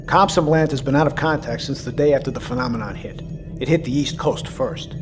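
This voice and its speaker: A grave, weary submarine commander, played by a male actor speaks over clear music. His voice is mid-pitched, measured and blunt, with military bearing in an audio drama. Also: close to an everyday voice.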